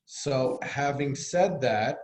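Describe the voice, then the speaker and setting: A man with a Bronx accent reads figures from a budget report on a video call. He stumbles, corrects himself and fills pauses as he goes. His voice comes through with a noticeable room echo. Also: medium pitch, a little mellow, a little hiss or hum. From New York. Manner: hesitant, many fillers and self-corrections. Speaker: board treasurer giving a finance report. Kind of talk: virtual meeting report.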